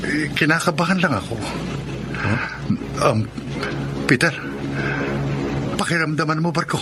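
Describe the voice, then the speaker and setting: A man's voice: on the high side, unhurried, very noisy, close to an everyday voice. A man playing an uneasy, devout middle-aged seaman in a Filipino radio drama.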